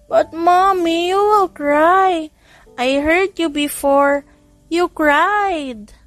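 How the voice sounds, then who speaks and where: A high, small voice of a little boy, acted out by a woman narrator, sweet and eager to please. He sounds childlike and polite, with a wistful pleading edge, as in an audio romance drama with music underneath. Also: very slow, fairly bright tone, dry recording, heavily acted. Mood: wistful, hurt.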